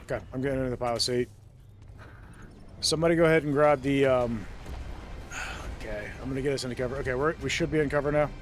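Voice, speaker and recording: A man's voice: mid-range voice, quick pace, long pauses, slight background noise. Chatty man streaming a game. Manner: chatty, laughs often, thinks aloud with ums. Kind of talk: casual gameplay commentary. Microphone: desk mic with noise suppression.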